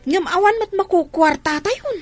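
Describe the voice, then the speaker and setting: A woman's voice: extremely high pitch, moderate pace, faint music behind. A woman playing a nagging, exasperated wife in a Filipino radio comedy-drama, with a Filipino accent.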